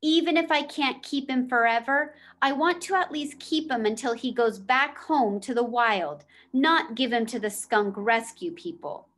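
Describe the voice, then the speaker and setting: A woman's voice: a little high, a little slow, hardly any pauses, fairly bright tone. A woman voicing an earnest, literal-minded young schoolboy in a children's storybook, with precise wording. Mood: earnest, hopeful.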